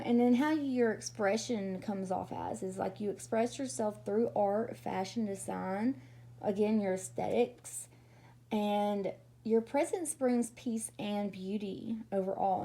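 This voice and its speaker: A woman doing a casual tarot reading, her voice raspy from a cold, chatty and often checking in with "okay?". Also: mid-range voice, warm tone. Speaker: tarot reader.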